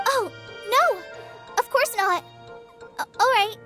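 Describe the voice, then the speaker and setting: A woman voicing a timid, earnest young girl in an anime-style video game, with a shy, childlike, expressive delivery. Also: extremely high pitch, takes it slowly, soft background music, full character voice. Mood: flustered, excited. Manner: shy, hesitant, sweetly expressive.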